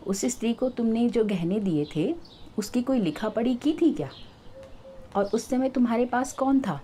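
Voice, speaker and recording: A woman's voice: fairly high pitch, expressive, moderate pace, dark tone, a little hiss or hum, voice pushed up, lightly acted. A woman voicing a stern, reproachful father and brothers in a told story, questioning and scolding. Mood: concerned questioning.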